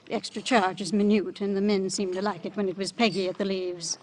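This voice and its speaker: An actress playing a chatty, airily fussy tea shop hostess with arch, rambling politeness in classic American radio drama style. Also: female voice, medium pitch, flat delivery, brisk, natural voice. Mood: gossipy, airy. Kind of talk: 1940s-50s American radio drama acting. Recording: noisy old radio recording.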